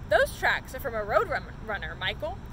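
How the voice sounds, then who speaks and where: A woman's voice: fairly high pitch, sing-song, moderate pace. A woman voicing a patient, informative mother in a storybook, explaining things. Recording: noisy outdoor recording.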